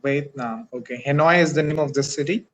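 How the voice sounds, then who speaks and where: A man's voice: fairly high pitch, brisk. A man from Pakistan, whose first language is Urdu, chatting on a video call in careful, hesitant English with frequent uhs. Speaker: English teacher and channel host. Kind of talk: casual video call chat.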